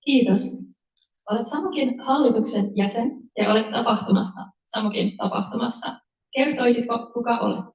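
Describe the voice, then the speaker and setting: Female panel moderator, slightly high voice, reading questions; roomy, muffled pickup. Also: brisk, a little mellow. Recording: dull, echoey room, distant mic.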